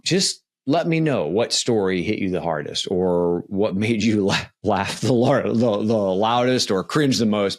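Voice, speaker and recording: A man's voice: deep voice, creaky. A man hosting a podcast and reading his own writing, speaking earnestly and straight to the listener. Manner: earnest, deliberate, direct address to listener. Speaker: podcast host and author. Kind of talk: reading own memoir aloud, then casual podcast talk.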